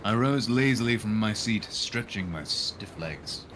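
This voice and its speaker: Low-pitched man reading a game aloud, noisy background. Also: expressive, measured pace, fairly bright tone. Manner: dry, irreverent asides. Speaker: streamer reading a visual novel aloud. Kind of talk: live read-aloud of a game with casual joking asides.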